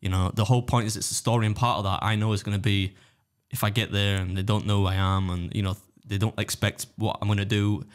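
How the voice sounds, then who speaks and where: A man's voice: lowish voice, level tone, fast talker. A man with a Yorkshire accent chatting casually on a podcast, telling anecdotes in a rambling, self-deprecating way. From Britain. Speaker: competitive eater and video creator.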